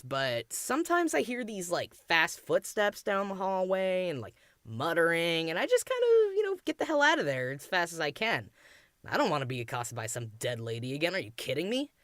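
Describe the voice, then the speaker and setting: A woman's voice: mid-range voice, no echo, clean studio sound. A woman casually telling a personal story in an irreverent, slangy and dramatic way. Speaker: restaurant worker telling a personal ghost story.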